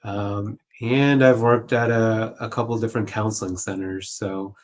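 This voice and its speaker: A man's voice: lowish voice, fairly even intonation, unhurried. A man answering interview questions as a guest, conversational, reflective and a little hesitant. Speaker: guest clinical social worker.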